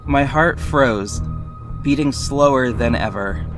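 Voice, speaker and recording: A man plays a sassy, defiant audio drama hero with a medium-pitched voice. He tells his own story in a dramatic, pointed way. Soft music runs underneath. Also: takes it slowly, natural voice. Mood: measured suspense. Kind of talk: first-person audio drama narration and dialogue.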